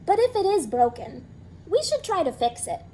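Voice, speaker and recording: A woman playing an excitable little girl. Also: very high voice, normal speed, a little hiss or hum, pitched above the performer's own voice, rough recording. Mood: eager. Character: excitable imaginative young girl.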